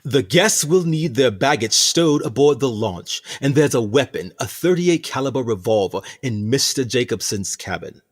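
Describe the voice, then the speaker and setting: An actor playing a smug, menacing ship captain in a radio play, theatrical, deliberate and mock-courteous. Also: male voice, mid-range voice, lively intonation, natural voice. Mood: courteous, commanding. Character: sinister male ship captain, smug, menacing warden of punishment.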